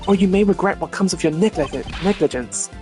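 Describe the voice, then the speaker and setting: A woman's voice: on the low side, quick pace, over music, lightly acted. A woman voicing a gloating female master thief and killer in a video game, theatrical and mocking.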